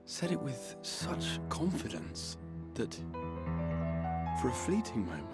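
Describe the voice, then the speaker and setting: An actor voicing an earnest, noble, weary fantasy game hero who speaks formally and eloquently. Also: male voice, mid-range voice, sing-song, loud music behind the voice. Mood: wistful, hopeful. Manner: formal, eloquent, measured storytelling. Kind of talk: dramatic video game voice acting.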